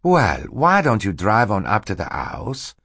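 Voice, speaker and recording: A man's voice: on the low side, huge pitch swings, unhurried, vocal fry, a bit bright, husky, no room sound, studio quality, voice pushed up, heavily acted. A man narrating an audiobook, voicing a scheming villain who poses as a meek, fluttery, apologetic assistant. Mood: smooth, oily. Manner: hissing menace switching to fluttery, falsely apologetic politeness. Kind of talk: audiobook character acting.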